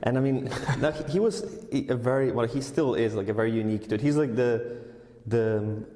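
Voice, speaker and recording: A man's voice: mid-range voice, normal speed, dark tone. A man raised in Sweden and Spain, a podcast guest telling stories in a casual, laid-back way with lots of fillers. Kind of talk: casual chat. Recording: echoey room with long reverb.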